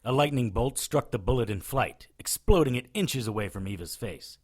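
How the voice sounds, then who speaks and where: A man's voice: mid-range voice, lively intonation, normal speed, slightly rough. A man playing a wry, cocky witch hunter who narrates and speaks in an audio drama, dry and sardonic. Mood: grave narrating. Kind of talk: first-person audio drama narration and dialogue.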